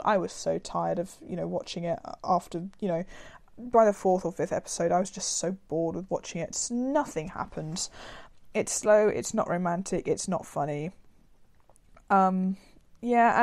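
A woman giving a casual spoken review, her voice slightly raspy from a cold, rambling with many fillers and restarts. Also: on the low side, a little mellow. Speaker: video reviewer.

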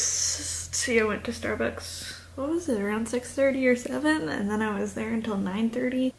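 A young woman in her twenties chatting casually into a desk camera in her small kitchen, rambling with lots of "like" and a slightly raspy voice. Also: mid-range voice, a little hiss or hum. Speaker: vlogger. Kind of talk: casual vlog chat. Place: small home kitchen, indoors. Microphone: camera on a desk, facing her.

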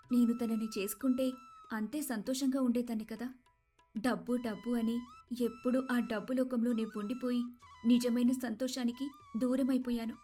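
High-voiced, emotive rich young wife played by a woman. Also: warm tone, close to an everyday voice. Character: rich arrogant young wife, sorrowful and repentant. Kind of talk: dramatized moral story voice acting.

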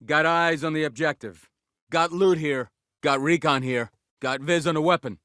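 A tough special forces soldier from a military shooter game, played by a male actor. His voice is bright and forceful, set a little high for a man. He barks terse, commanding callouts, recorded very dry and close. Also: fairly even intonation, moderate pace, smooth, no echo, close to an everyday voice. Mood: brisk, focused.

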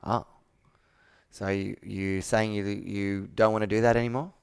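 A man's voice: fairly low pitch, flat delivery, unhurried, creaky, smooth. A man in his thirties coaching a sales roleplay on a video call, asking probing questions and repeating answers back. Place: indoor room with whiteboard, on a video call.

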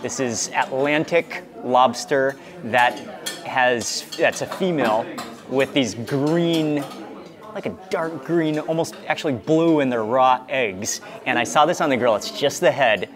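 A man in his thirties excitedly narrating a seafood meal for a food vlog, savoring each bite with hums and exclamations, recorded on a small clip mic in a restaurant. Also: medium pitch, unhurried. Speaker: food vlogger. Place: restaurant dining room and outdoor grill. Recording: busy restaurant crowd behind. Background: restaurant crowd chatter.

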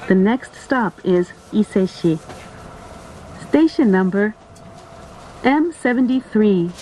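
A woman's voice: mid-range voice, darkish tone, poor audio. A woman reading a scripted train announcement in a formal, carefully phrased way. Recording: in-car announcement with vehicle noise. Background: train running noise.

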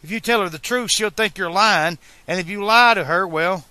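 Man playing an excitable teenage boy, bright and pleading. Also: fairly high pitch, unhurried, no room sound, pitched above the performer's own voice. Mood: exasperated. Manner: emphatic, colloquial, pleading. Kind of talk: audiobook character dialogue.

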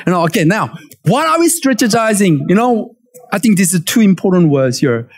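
A man in his forties with a Korean accent, preaching a lively sermon from a church stage and calling for amens. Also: sits high, fairly quick. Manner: animated, emphatic preaching with call-and-response. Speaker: pastor.

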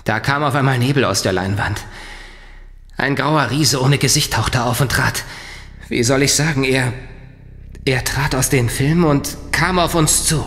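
An actor playing a shaken, indignant eyewitness in a horror audio drama, agitated and halting. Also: male voice, medium pitch, fairly bright tone, big-room sound, slight background noise.

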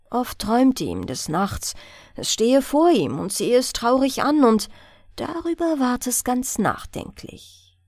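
A woman reading a bedtime fairy tale in a soothing, expressive storytelling manner. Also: a little high, no room sound, studio quality. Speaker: audiobook narrator.

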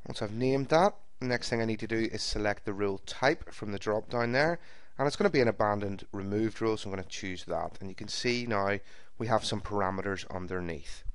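A man with a fairly low voice walks through a software setup one step at a time, calm and methodical like a trainer. His narration is close to the microphone and sounds dry, with almost no room around it. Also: a little fast, clear, resonant tone, dry recording. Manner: steady, methodical step-by-step explanation. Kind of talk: screen-recorded software tutorial. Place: voice-over recorded for a screen capture.

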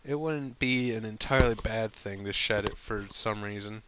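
A man reading fan fiction aloud as a storybook narrator, with some halting stumbles over the lines. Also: on the low side, noisy background.

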